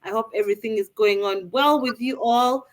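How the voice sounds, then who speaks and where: A woman from Uganda hosts a live online panel, her voice sitting a little high. She is upbeat and chatty and talks straight to the viewers. The sound comes through a video call. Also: moderate pace. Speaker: live show host.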